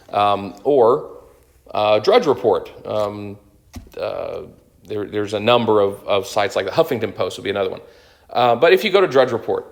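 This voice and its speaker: Thirtysomething guy explaining bible stuff, mid pitch, lots of uhs and restarts. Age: 30s. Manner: explanatory, thinks aloud with fillers and restarts. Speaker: Bible teacher. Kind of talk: unscripted lecture on scripture.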